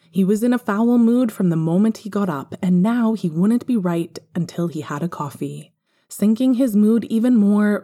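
A woman's voice: on the low side, dark tone. A woman reading a story aloud as scripted narration, as a podfic reader.

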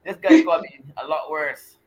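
A man's voice: on the high side, normal speed, a crowd in the background. A man, hosting a casual talk show with joking, dialect-heavy banter. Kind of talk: casual chat.

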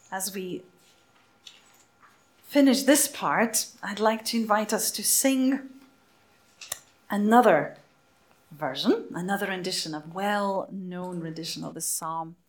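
Slow, soft-spoken woman minister. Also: mid-range voice. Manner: soft, unhurried, hesitant with fillers. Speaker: minister leading a church service. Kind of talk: leading worship and interviewing a church member.

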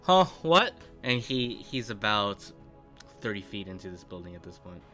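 A man narrating a tabletop game as game master, improvising descriptions in measured, halting phrases. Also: lowish voice, bright, forceful tone. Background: faint background music.

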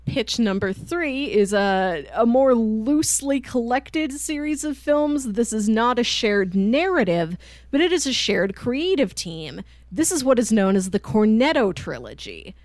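A woman's voice: fairly high pitch, animated, a little slow, darkish tone, no echo. A woman co-hosting a podcast in casual chat, with an enthusiastic, chatty manner. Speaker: podcast co-host. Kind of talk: casual chat, pitching films to co-host.

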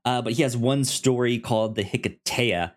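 Halting, stammering man in casual chat. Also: medium pitch. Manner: halting, stammers and repeats syllables and words. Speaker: podcast co-host. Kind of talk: casual chat about comic books.

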